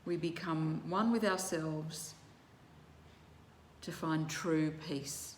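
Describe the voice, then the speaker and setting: Female yoga nidra teacher, lowish voice, very unhurried and soothing, recorded in an echoey room. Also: darkish tone. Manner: measured, soothing, with long pauses between short phrases. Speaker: yoga teacher leading guided relaxation. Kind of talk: guided meditation instruction. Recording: roomy echo with faint background noise.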